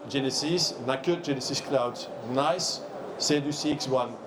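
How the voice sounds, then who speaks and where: A middle-aged man from Belgium, not a native French speaker, giving a halting trade show talk full of fillers and restarts. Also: medium pitch. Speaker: conference speaker. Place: trade fair hall. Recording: noisy trade fair hall.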